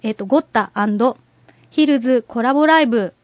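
A woman's voice: on the high side, normal speed. A woman podcast co-host chatting casually and giving announcements, playfully echoing others with mock-genteel feminine turns of phrase. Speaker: podcast co-host and amateur stage and voice actor. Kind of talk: casual chat with event announcements. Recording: muffled audio with background music. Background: background music.

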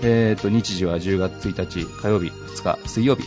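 A man's voice: deep voice, steady tone, moderate pace. A man hosting a radio show, chatting casually and reading out announcements. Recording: muffled recording with music behind.

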